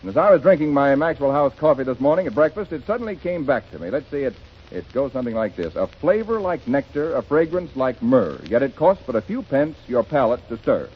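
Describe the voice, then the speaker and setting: Husky-voiced man hosting old radio show. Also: mid-range voice, a little fast. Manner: relaxed, chatty, chuckling delivery. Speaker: host. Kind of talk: 1930s radio variety hosting. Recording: dull 1930s radio broadcast recording.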